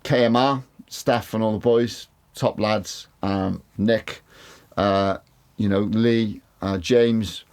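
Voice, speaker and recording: Low-voiced rambling man. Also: fairly even intonation, slow pace, a little mellow, clear, resonant tone. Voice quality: big voice. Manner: rambling, repeats words, swears casually. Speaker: mixing engineer reviewing studio monitors. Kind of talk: casual talk to camera. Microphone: studio condenser mic.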